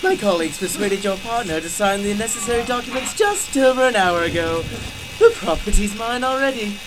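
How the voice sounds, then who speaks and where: Sadistic villain clown, radio drama, flamboyant, mock-polite, drawn-out delivery, highly animated pitch, faint noise. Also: male voice. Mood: mocking menace.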